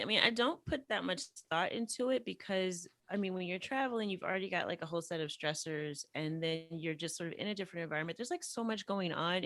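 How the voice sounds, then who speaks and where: A woman from the United States tells stories as a podcast guest, animated and full of fillers. Her voice sits a little low. The sound is dry, with no room echo. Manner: animated, chatty, many fillers. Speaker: podcast guest, language teacher. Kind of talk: casual interview storytelling.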